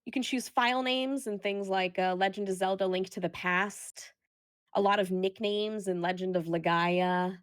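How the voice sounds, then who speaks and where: A woman's voice: mid-range voice, steady tone, moderate pace, clean tone. A woman hosting a charity marathon live, chatting casually and playfully as she goes through donation updates.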